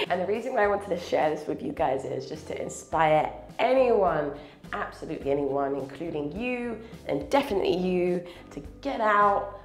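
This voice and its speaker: A woman's voice: fairly low pitch, roomy sound. A woman in her thirties, stuffy and a little nasal from a cold, chatting to camera as a travel vlogger and laughing often. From Scotland. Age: 30s. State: congested from a cold. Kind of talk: casual vlog to camera. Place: small wooden cabin, then inside a car. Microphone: clip-on lapel mic.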